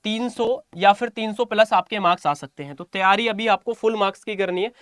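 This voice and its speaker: A man's voice: high voice, steady tone, fairly quick, fairly bright tone, no room sound, clean studio sound. A man giving an informal exam-update lesson to students as a coaching teacher, repeating phrases for emphasis.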